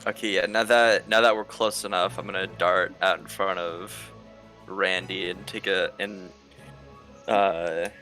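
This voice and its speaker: A man's voice: low voice, steady tone, measured pace, pushed and bright. A man chatting casually as a tabletop game player, hesitantly describing his character's actions over voice chat. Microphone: voice chat microphone, mutable. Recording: online voice chat with faint music.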